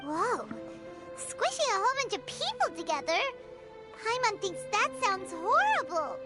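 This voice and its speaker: A little fairy sidekick from a video game, childlike and bubbly. The voice is extremely high and swings wildly in melody, with blunt, naive delivery over prominent music. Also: female voice, a little slow, full character voice. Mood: appalled. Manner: refers to herself in third person, blunt, expressive.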